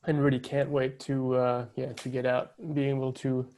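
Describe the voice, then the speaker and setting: French-accented man, middle-pitched, halting guest full of ums. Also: monotone, a little mellow. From France. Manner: hesitant, many fillers and restarts. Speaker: co-founder guest. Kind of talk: casual interview talk.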